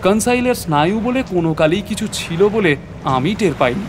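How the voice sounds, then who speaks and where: An actor playing an adventurer who narrates a suspenseful sea voyage in a dramatic, literary storytelling style. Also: male voice, high voice, lively intonation, normal speed, noisy background.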